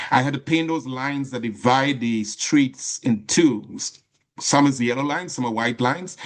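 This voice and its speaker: Earnest Trinidadian man giving a talk. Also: mid-range voice. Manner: earnest, emphatic, with frequent restarts and slow stressed phrases. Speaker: guest speaker. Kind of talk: personal motivational talk.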